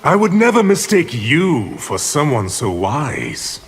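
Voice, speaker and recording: An actor playing an arrogant, scheming evil sorcerer in a video game, with a haughty, menacing, theatrical delivery. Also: male voice, on the high side, huge pitch swings, slow pace, slightly rough, heavily acted. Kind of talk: video game villain dialogue. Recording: echoing hall reverb over music.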